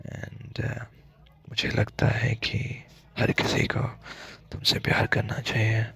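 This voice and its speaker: A man playing a tender, hesitant young mafia heir in an intimate ASMR boyfriend roleplay, soft-spoken and halting, with a husky, breathy voice. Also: fairly low pitch, completely flat delivery, heavily acted. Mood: loving, gently commanding.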